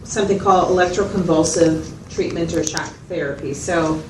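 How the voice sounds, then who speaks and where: A woman's voice: on the low side, fairly even intonation, moderate pace, lightly acted. A woman playing a patient mental health facilitator in a role-played client interview, asking checking questions and summing up with hesitant phrasing. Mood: calm, checking. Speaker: clinician facilitator. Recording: roomy, distant mic, loud background noise.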